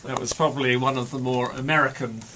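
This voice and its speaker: A man playing a self-assured Victorian gentleman detective, talking in a pompous, formal, dry way in a radio interview. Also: medium pitch, steady tone, quick pace, lightly acted. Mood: dry, bemused. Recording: faint noise, clicks.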